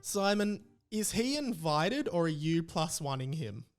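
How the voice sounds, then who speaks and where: A man's voice: fairly high pitch, very animated, measured pace, soft background music. A man with an Australian accent hosting a podcast in casual, informal chat with a mock-aggrieved way of talking. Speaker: podcast host.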